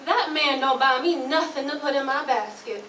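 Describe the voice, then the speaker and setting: A woman's voice: sits high, moderate pace, pushed and bright. A woman acting an aloof, indignant woman in a stage play, with a dismissive manner. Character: aloof, indignant young Southern woman brushing off suitors. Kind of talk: readers theatre stage acting. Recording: echoey, distant, faint noise.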